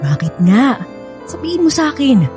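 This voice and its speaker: A woman with a Filipino accent acting a caring, gently scolding nanny in a radio drama, motherly and pleading. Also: sits high, big pitch swings, moderate pace. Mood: concerned, reproachful. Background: background music.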